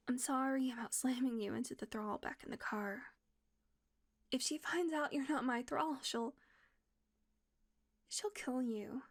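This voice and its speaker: A woman acting a tense female vampire who switches between hushed whispers and cursing outbursts in an audio roleplay. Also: sits high, a little slow, pauses often. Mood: shaken, whispering.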